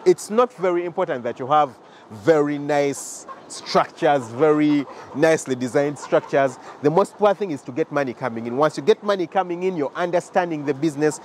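A young Ugandan man films himself close to a handheld camera as he walks his chicken farm, his voice sitting a little high for a man. He explains things patiently and talks straight to his viewers. Faint outdoor ambience hums under him. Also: slight background noise. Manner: explanatory, repeats points, addresses viewers directly. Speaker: farm owner giving a tour. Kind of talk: walking farm tour vlog. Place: outdoors at a poultry farm and inside a chicken house. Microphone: handheld camera close to the face.

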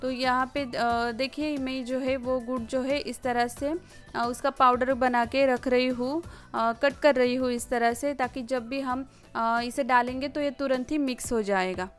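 A woman's voice: high-pitched, fairly even intonation, a little slow. A woman narrates like a home cooking vlogger. She explains things casually and a little hesitantly, repeating words as she goes. Faint music plays behind her. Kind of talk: casual recipe voice-over narration. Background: background music.

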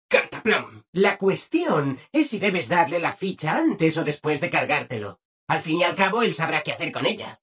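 A video game robot helper with a Castilian Spanish accent, relentlessly cheerful and keen to please. The voice sits high and swoops up and down, with a husky edge. Everything comes out chirpy and over-obliging. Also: male voice, full character voice. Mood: helpful, obliging. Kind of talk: video game character voice acting.

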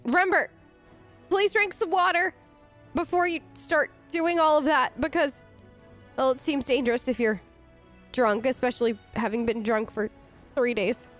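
A woman's voice: high voice, moderate pace, pauses often, soft background music. A woman role-playing her game character in casual, improvised dialogue, chatty, upbeat and informal.

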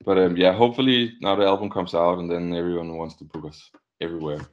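Young male musician, Danish accent, low voice, casual interview over dull-sounding video call. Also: fairly even intonation, fast, a little hiss or hum. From Denmark. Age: young adult.

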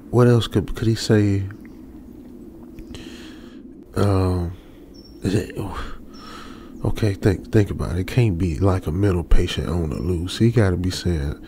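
A man's voice: lowish voice, gravelly. A man with an American accent playing an anxious young trucker, muttering nervously to himself in a radio drama. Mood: puzzled, nervous. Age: young adult. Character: anxious young male trucker stranded alone. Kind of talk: ASMR radio drama monologue. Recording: faint background noise.

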